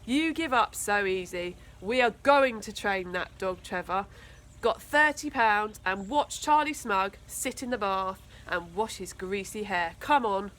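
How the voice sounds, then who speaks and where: Woman as a feisty, bright-voiced young girl. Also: mid-range voice, expressive, measured pace. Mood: cheerful determination. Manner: snappy, defiant. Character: feisty, reckless young girl, snappy and confident.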